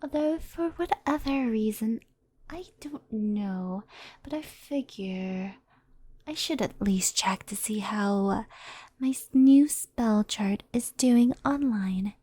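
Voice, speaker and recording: Casting: woman as gentle, mischievous kitsune mentor for ASMR roleplay; mellow, slow delivery, soft intimate whispering. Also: on the high side, no echo. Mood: softly anxious. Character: gentle mischievous female fox spirit, doting mentor, faintly anxious.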